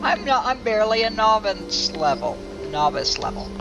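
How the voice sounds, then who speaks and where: A man's voice: high-pitched, big pitch swings, normal speed, creaky, muffled recording. A man giving casual let's play commentary as a gaming host, rambling and thinking aloud. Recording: game music behind the voice.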